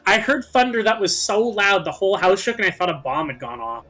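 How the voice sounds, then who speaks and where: A man's voice: high voice, very animated, brisk. A man in casual, playfully insistent banter with friends as he co-commentates a video game. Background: game music.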